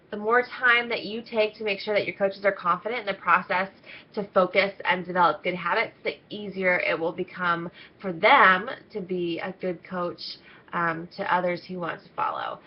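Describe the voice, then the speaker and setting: Congested nasal woman coaching her team. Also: mid-range voice. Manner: upbeat, chatty, many ums. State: congested, coming down with a cold. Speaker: team coach leading an internship. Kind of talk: casual video training talk. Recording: slightly dull lo-fi audio.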